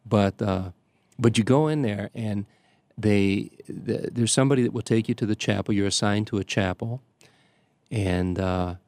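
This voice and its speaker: An older priest reminisces in a rambling, unhurried way, stopping and circling back with plenty of ums and uhs. His voice sits low, and the sound is dry, with no room echo. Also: a little mellow. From Chicago area. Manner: rambling, halting, many restarts and fillers. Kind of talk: conversational storytelling.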